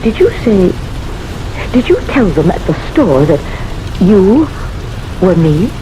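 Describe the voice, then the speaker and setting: Older spinster, anxious and hesitant with defiant flashes, wide-swinging delivery, old radio drama on a very noisy recording. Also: female voice, mid-range voice, very animated. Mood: hesitant, meek. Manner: hesitant, halting, repeating her words.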